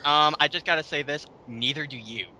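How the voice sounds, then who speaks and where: High-pitched, very bright, animated scornful man. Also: a little fast, poor audio. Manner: scornful, mocking, exclamatory. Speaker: co-host of a mocking commentary. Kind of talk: casual group commentary rant, swearing.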